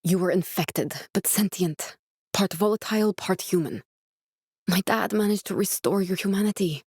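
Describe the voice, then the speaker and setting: A woman voicing an earnest, guarded young female scientist survivor in a video game, speaking in a measured, serious way. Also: medium pitch, darkish tone, husky, dry recording, studio quality, close to an everyday voice. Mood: earnest, explanatory. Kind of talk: dramatic video game dialogue.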